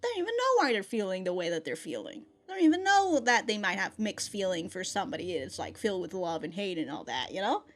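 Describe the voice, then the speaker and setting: A woman giving casual, animated reaction commentary as a video host, chatty and slangy, swearing freely. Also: mid-range voice, fast, few pauses. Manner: chatty, laughs often while talking.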